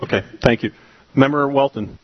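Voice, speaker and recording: A man chairing a school board meeting, reading procedure and calling on members in a brisk, formal way. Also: mid-range voice, lo-fi sound, a little hiss or hum.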